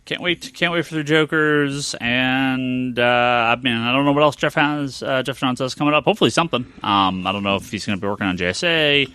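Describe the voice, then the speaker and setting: A man hosting a casual comic book news chat, excitable and emphatic, often repeating his phrases. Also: medium pitch, fairly quick, few pauses, smooth. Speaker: podcast host.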